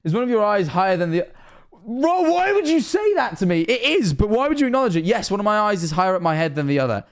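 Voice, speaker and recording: A man's voice: high-pitched, big pitch swings, fast. A Scottish man of 21 streaming casually, rambling and self-mocking, swearing often.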